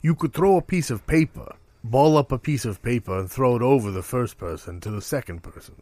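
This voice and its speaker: A man trading improvised comic banter in a dry, matter-of-fact, deadpan way. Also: medium pitch, a little fast, no echo. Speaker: improv comedy performer.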